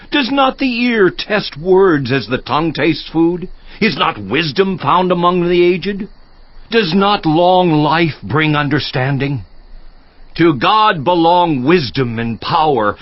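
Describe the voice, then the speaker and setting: An actor playing an anguished righteous man protesting his innocence, in a declamatory, impassioned dramatized scripture monologue that drops to hushed intensity. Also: a little high, no echo, lo-fi sound, full character voice.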